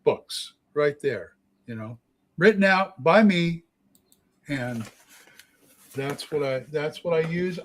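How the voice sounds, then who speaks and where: A man in his late sixties in a casual chat, halting and repeating his words as he talks about running games. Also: fairly high pitch. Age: 60s. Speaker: game master, co-host.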